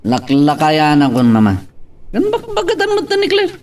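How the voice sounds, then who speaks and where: A man's voice: on the high side, wildly swooping pitch, normal speed, a little mellow, recorded from a distance. A man acting a careless, irritable drinking husband with an Ilocano accent in an audio drama. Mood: defiant.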